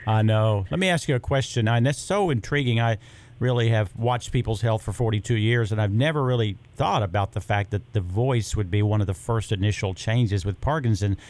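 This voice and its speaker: A man's voice: lowish voice, brisk, a little mellow. A man hosting a health talk, asking a rambling question while he searches for words.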